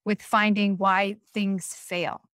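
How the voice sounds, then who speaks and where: A woman interviewing someone in a casual, conversational style, speaking informally and with animated emphasis. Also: mid-range voice, slow pace, dry recording, clean studio sound.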